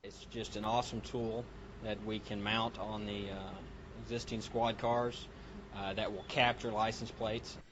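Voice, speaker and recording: Lowish voiced guy giving a halting interview, clear vehicle noise behind. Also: little pitch movement, fairly bright tone, dull audio. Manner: halting, hesitant. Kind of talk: interview soundbite.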